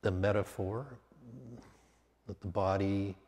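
A man leading a guided meditation as a teacher, speaking calmly and off the cuff in short, measured phrases with long pauses. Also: sits low. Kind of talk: guided meditation, spoken slowly and unscripted. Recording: long reverberant hall sound.

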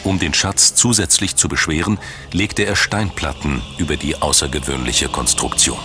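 A man's voice: a bass voice, fairly even intonation, moderate pace. A man reading scripted documentary narration in pronunciation. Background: background music.

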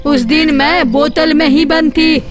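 A woman voicing a menacing, gloating man-eating witch in an animated horror story, with a drawn-out delivery. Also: sits high, faint music behind. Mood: haughty, stern.

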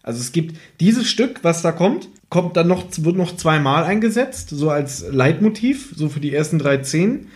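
A man's voice: a little high, animated, normal speed, few pauses, a little mellow. A man co-hosting a podcast, casually reviewing and retelling a story from his notes. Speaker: podcast co-host.

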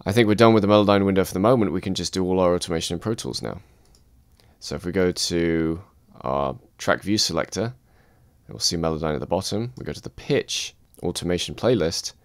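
Male instructor, low dry voice, calm step-by-step tutorial read. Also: brisk, no echo. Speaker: music production instructor. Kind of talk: screen-recorded software tutorial.

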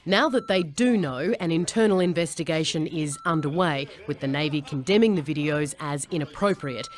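An Australian woman in her thirties delivering a television news report as a correspondent. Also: sits low. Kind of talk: live news report and scripted narration. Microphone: lapel mic.

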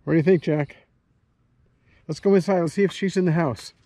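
A man's voice: fairly high pitch, fairly even intonation, brisk, dark tone, slight background noise. A man chatting casually and coaxingly to a dog as he films a vlog outdoors. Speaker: vlogger. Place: outdoors on a rural homestead.

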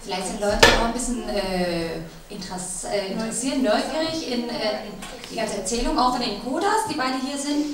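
A woman co-hosting a panel, halting and full of fillers. Her voice is fairly high and heard from afar. The room echoes strongly, and an audience is heard around her. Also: recorded from a distance, a loud crowd. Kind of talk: panel talk.

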